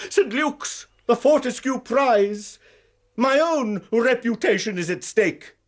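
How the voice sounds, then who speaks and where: An actor playing an anxious, proud English college lecturer in a British radio drama, with an agitated, formal, donnish manner. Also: male voice, very high-pitched, lively intonation, slow pace, exaggerated voice. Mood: brooding, grave.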